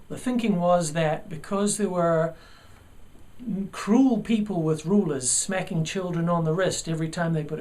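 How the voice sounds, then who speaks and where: A man's voice: fairly high pitch, darkish tone. New Zealand man teaching a writing lesson. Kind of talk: video lesson.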